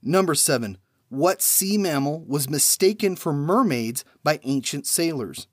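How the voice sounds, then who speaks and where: A man hosting a trivia podcast, reading numbered questions and then giving each answer after a pause, in a measured way. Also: a little high, lively intonation, no echo, studio quality.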